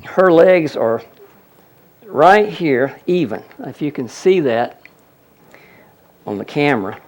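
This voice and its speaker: A man's voice: fairly high pitch, lively intonation, takes it slowly, a little mellow. A man presenting a healing seminar, explaining a live demonstration to an audience and repeatedly pointing things out. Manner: explanatory, audience-addressing, frequent "look at that".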